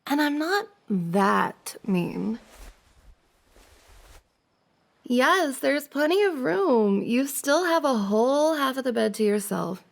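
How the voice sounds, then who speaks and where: A woman's voice: sits high, big pitch swings, takes it slowly, creaky, few pauses, exaggerated voice. A woman playing a playful, teasing young woman, a best friend's older sister, in a giggly, casual ASMR roleplay. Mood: playful reassuring. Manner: giggly, teasing banter with frequent soft laughs.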